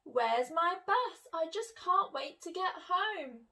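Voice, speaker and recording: A woman voicing a polite, hurried little male dog from a children's picture book, courteous and given to exclaiming. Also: extremely high pitch, expressive, sharp tone, recorded from a distance, voice pushed up, heavily acted. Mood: impatient.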